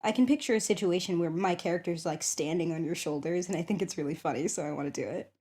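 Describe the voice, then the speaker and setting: Woman playing mellow, chatty, eager schoolgirl. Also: on the low side, quick pace, natural voice. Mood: playful, eager. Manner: chatty, hesitant, thinking aloud. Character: shy, eager popular schoolgirl curious about tabletop games. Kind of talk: ASMR roleplay, one-sided conversation.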